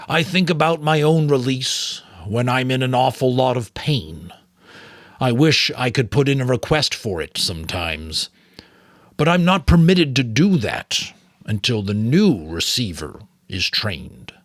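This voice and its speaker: A man voicing a weary, wise old male mentor from an audiobook novel, gentle and measured. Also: medium pitch, sing-song, no room sound, clean studio sound, pitched above the performer's own voice. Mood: weary, bitter.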